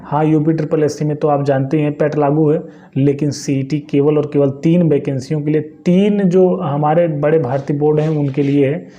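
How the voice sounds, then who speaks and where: A man's voice: on the high side, steady tone, normal speed, dark tone, highs cut off. A man from hosting an exam coaching channel, explaining casually to his viewers, repeating phrases and checking that they follow. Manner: chatty, repeats phrases, asks "clear?". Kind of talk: casual explainer talk to camera.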